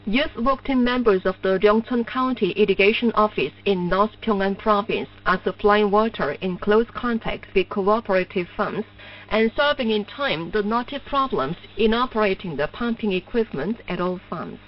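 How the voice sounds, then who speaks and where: A woman with a Korean accent reading formal scripted news over shortwave radio in a measured, stiffly official manner. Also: medium pitch, far from the mic. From North Korea. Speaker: newsreader. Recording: muffled noisy shortwave radio with hum.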